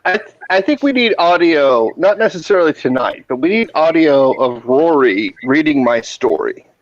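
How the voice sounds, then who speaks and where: A man's voice: fairly high pitch, lively intonation, moderate pace, dry recording. A man on a casual video-call chat, teasing and cutting in with jokes. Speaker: guest. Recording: dry, dull lo-fi sound.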